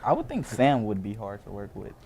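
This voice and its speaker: A man's voice: lowish voice, big pitch swings, fairly quick, gravelly, darkish tone, a little hiss or hum. A young man co-hosting a casual studio chat with a dry, teasing humor. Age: young adult. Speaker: co-host. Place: small college studio, indoors.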